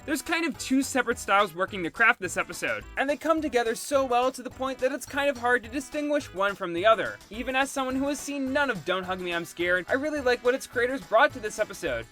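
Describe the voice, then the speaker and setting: A man's voice: very high voice, animated, fast, hardly any pauses, bright, forceful tone. A man reading a scripted review as host, with music behind him. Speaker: host reviewing cartoon episodes. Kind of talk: scripted narration.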